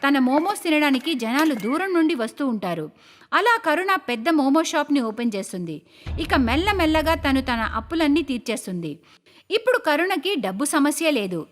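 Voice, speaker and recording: A woman's voice: sits high, sing-song, moderate pace. A woman narrating a scripted moral story for a cartoon. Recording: clear background noise.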